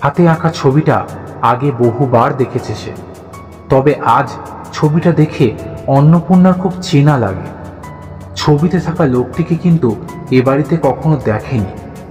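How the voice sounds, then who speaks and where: A man's voice: medium pitch, unhurried, long pauses, a little mellow. Male narrator for horror audio fiction, scripted storytelling delivery, reverberant voice over a music bed. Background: background music.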